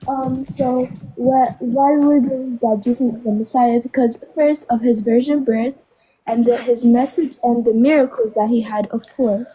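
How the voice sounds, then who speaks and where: A woman's voice: on the high side, steady tone, normal speed, dark tone, husky, low-quality recording. A seventeen-year-old girl who is sick, joining a debate as a guest and answering hesitantly with many fillers. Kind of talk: casual debate conversation.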